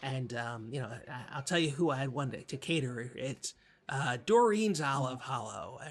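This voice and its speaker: A man playing a nervous, apologetic community radio co-host in an improvised comedy, speaking haltingly and rambling in a husky voice. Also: mid-range voice, lively intonation. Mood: earnest, halting.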